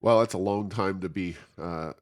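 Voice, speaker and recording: A man's voice: sits low, moderate pace. A man with an American accent hosts a casual interview, asking meandering, conversational questions with many hesitations and ums. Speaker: interview host.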